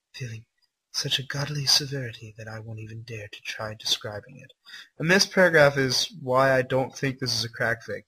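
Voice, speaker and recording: A man with a bright, forceful voice and a slightly rough, husky edge reads aloud on a livestream. He treats the text theatrically and with open mockery, as an amateur dramatic reader and commentator would. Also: mid-range voice, rough recording. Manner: incredulous asides, breaks into laughter. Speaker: livestream host reading a fan story aloud. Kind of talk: live dramatic reading with mocking commentary.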